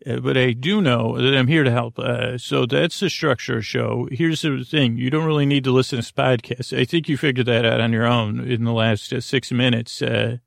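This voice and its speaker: Creaky-voiced man rambling gently. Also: mid-range voice, steady tone, dry recording, studio quality. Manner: meandering, halting, trailing off into tangents; self-deprecating, goofy, gently humorous. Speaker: podcast host. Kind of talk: rambling, casual sleep-podcast monologue.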